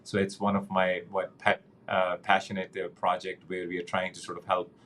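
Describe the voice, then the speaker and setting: Earnest, halting middle-aged man, low voice. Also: monotone, fairly bright tone. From Pakistan. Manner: halting, many fillers and restarts, earnest. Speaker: guest, banking executive. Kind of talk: conversational interview.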